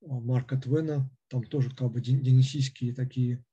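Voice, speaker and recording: A man giving an unscripted philosophy lecture, halting and full of hesitations and fillers, on a dull lo-fi recording. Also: medium pitch, steady tone, warm tone, breathy edge.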